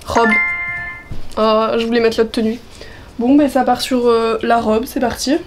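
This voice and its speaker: A woman's voice: on the high side, flat delivery, unhurried, a little mellow, faint music behind. A young woman in her twenties chatting in a casual vlog, her voice worn from a chest cold, upbeat and full of fillers. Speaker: vlogger. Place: at home, partly out on a terrace.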